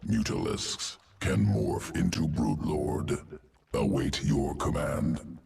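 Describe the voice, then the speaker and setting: A man voicing a cold, clinical alien creature in a video game, gravelly, speaking in clipped, detached, analytical fragments. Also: extremely low pitch, animated, takes it slowly, background noise, full character voice. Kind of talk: video game character dialogue.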